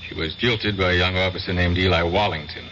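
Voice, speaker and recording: An actor playing a skeptical, exasperated lawyer in a 1970s radio drama, questioning and reasoning firmly. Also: male voice, low voice, steady tone, fairly quick, sharp tone. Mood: disbelieving. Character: skeptical, exasperated male defense attorney. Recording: muffled, hissy radio drama recording.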